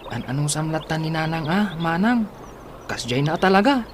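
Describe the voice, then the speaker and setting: A woman's voice: low voice, expressive, normal speed. Woman acting a young in-law, noisy recording. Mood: confiding. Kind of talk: Ilocano radio drama acting.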